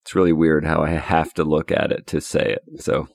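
A man's voice: extremely low pitch, little pitch movement, moderate pace, vocal fry. A man hosting a casual podcast, joking and laughing with his co-hosts and guest. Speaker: podcast host. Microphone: remote recording setup.

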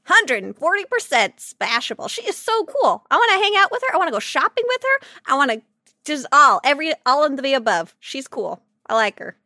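A woman with a bright, somewhat high voice co-hosts a gaming podcast. She talks informally and playfully, often gushing about what she likes. The sound is very dry, with no room echo. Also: sing-song, brisk. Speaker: podcast co-host. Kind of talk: casual chat about a video game.

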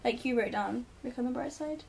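Teen girl guest, giggly and rambling, higher voice, slightly distant pickup. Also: a little fast, vocal fry, darkish tone, slightly rough. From Italy. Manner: chatty, giggly, rambling advice. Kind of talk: casual chat.

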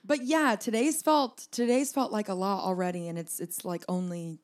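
A young woman with a slightly raspy low tone, co-hosting a podcast in a rambling, joking chat. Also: fairly even intonation, normal speed. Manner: rambling, laughing, swears casually. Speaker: podcast co-host. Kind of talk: casual chat.

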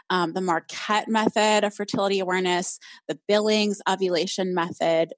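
A woman's voice: mid-range voice, dry recording. A young woman hosting a casual solo podcast, chatty and upbeat, with a slightly nasal voice and frequent "um"s. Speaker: podcast host.

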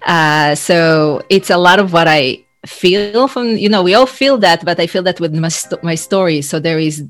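A woman's voice: on the low side, lively intonation, moderate pace. A woman from Israel whose first language is Hebrew, reflectively telling her life story as a guest over a video call, often restarting her sentences. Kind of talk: conversational storytelling.

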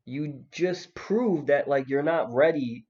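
A man's voice: fairly high pitch, slow, a little mellow. A young man hosting a podcast, chatting in a laid-back, joking way with many restarts. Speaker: podcast host. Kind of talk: casual chat. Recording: dull lo-fi podcast audio.

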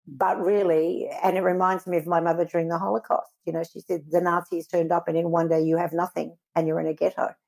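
Husky, gravelly woman reflecting earnestly. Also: fairly low pitch, flat delivery, darkish tone. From Israel. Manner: earnest, measured, searching for words. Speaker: guest. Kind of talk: reflective interview answers.

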